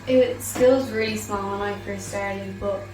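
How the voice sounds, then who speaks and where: A woman's voice: mid-range voice, steady tone, a little slow. A sixteen-year-old Irish girl answering interview questions casually, filling her talk with "like". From Ireland. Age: teens. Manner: chatty, lots of "like" fillers. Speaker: former student interviewee. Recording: echoey room, distant mic, music underneath.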